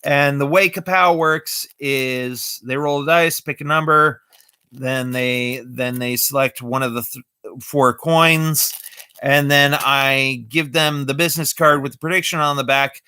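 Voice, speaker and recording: Bright-voiced chatty magician man. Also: mid-range voice, fairly even intonation, a little slow, smooth, no echo. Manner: chatty, explaining tricks step by step. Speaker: amateur magician. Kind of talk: casual live-stream chat.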